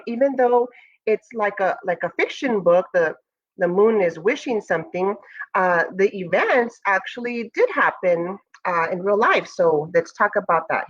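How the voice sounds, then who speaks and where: A woman's voice: medium pitch, lo-fi sound. An American woman, a school educator, reading a picture book aloud to children expressively and speaking to them directly. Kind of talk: children's read-aloud with asides to pupils.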